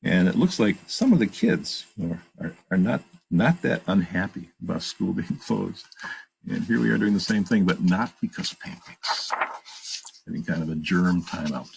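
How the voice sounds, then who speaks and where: A man's voice: low voice, expressive, moderate pace, vocal fry, a little hiss or hum, low-quality recording. A man reading a children's picture book aloud, expressively, with plain asides about the pictures. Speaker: storytime reader and narrator.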